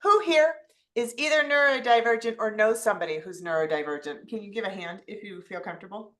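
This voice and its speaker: A woman's voice: mid-range voice, brisk, a bit bright. A woman co-hosting an online workshop over a video call, engaging the audience with curious questions. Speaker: co-host.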